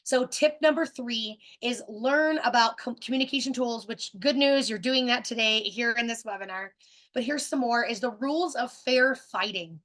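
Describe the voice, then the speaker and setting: Brief: woman, 30s, webinar host, fairly high voice, big direct energy, casual teaching talk with fillers. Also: moderate pace, a bit bright.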